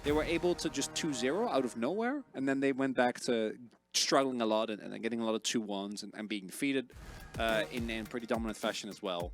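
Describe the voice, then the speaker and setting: A man's voice: medium pitch, brisk, soft background music. A young man giving casual esports analysis, rambling and correcting himself as he goes, with lots of fillers. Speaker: esports caster.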